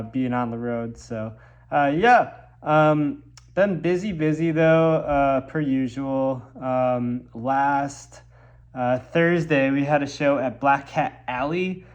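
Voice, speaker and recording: A man's voice: mid-range voice, slow pace. A young man from Milwaukee, congested from a cold, rambling casually in a vlog with lots of ums and likes. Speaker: musician vlogger.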